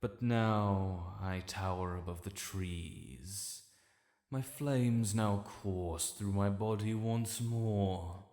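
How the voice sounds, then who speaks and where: Cavernous echo, ancient dragon lord played by a man, British accent, low-pitched, formal, stately, protective, fantasy roleplay. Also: a little mellow. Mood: soothing, protective. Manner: formal, measured, stately diction. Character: ancient male dragon lord, regal, stern yet protective. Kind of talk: fantasy audio roleplay, addressing the listener. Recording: echoey cavernous reverb.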